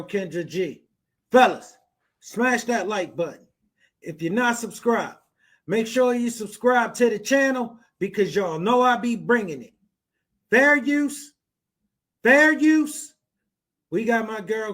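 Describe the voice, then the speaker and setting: A man hosting a podcast, talking to his viewers in a hyped, informal, slangy way. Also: sits high. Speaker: podcast host. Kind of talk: reaction show intro, addressing viewers.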